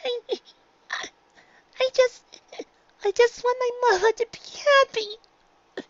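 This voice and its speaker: A woman voicing an earnest, defiant little girl pony in a storybook reading, with a squeaky childlike voice and a pleading, stammering manner. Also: fairly even intonation, slow pace, highs cut off. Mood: sobbing.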